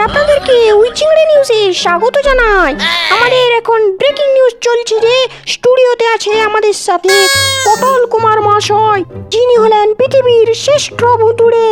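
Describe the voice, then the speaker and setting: Actress as parody news anchor, cartoon, extremely high voice, eager, formal delivery, loud music. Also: female voice, moderate pace. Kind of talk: parody breaking-news presentation.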